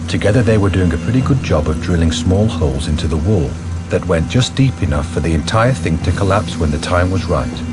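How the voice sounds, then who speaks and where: An actor playing the narrator of a police thriller, in scripted dramatic radio narration. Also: male voice, low-pitched, animated, fairly quick. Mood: narrating. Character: male storyteller of a police thriller. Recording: loud music under the voice. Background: loud music.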